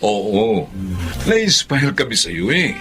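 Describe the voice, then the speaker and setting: A man's voice: mid-range voice, wildly swooping pitch, takes it slowly, creaky, noisy background, heavily acted. An actor with a Filipino accent playing a kindly, supportive male boss in a radio drama. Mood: kindly, encouraging.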